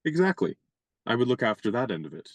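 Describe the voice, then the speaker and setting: A man playing a wordy, courtly young gentleman. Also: medium pitch, very animated, quick pace, dry recording. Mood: persuasive. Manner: courtly, elaborate, playfully pompous phrasing. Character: genial young gentleman adventurer, chivalrous and wordy. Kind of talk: radio play acting.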